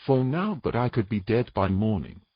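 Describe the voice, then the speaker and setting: A man playing a wary, stubborn, educated man in an audio fantasy, with a husky voice and cautious, pleading delivery. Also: mid-range voice, sing-song, moderate pace, dry recording, dull audio. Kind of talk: dramatic audio fiction acting.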